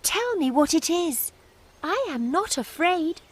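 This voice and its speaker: A woman's voice: extremely high pitch, lively intonation, slow, exaggerated voice. A woman voicing a romantic, devoted little nightingale in a storybook, earnest, wistful and pleading. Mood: earnest, brave.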